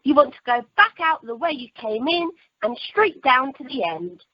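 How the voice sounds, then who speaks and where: A woman's voice: sits high, very animated, moderate pace, no room sound. A woman playing a chirpy, dim, literal-minded airline check-in assistant in amateur radio comedy. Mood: helpful. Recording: muffled, dry telephone conference line.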